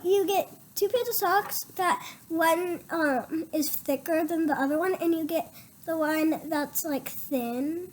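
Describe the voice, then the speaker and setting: A girl with a very high voice and a stuffy nose, coughing, explains her home remedies in halting bursts with lots of ums. There is a faint hiss of noise behind her. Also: darkish tone. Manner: halting, full of ums and restarts. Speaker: young guest.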